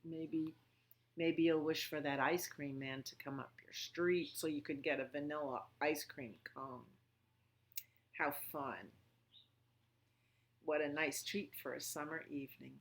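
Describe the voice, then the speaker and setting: A woman leads a storytime for small children. Her pitch sits a little low for a woman and her pace is very unhurried. She talks in simple, child-directed phrases, leaving gaps and posing questions as she goes. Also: fairly even intonation. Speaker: library storytime host. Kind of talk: children's storytime with songs, rhymes and read-aloud.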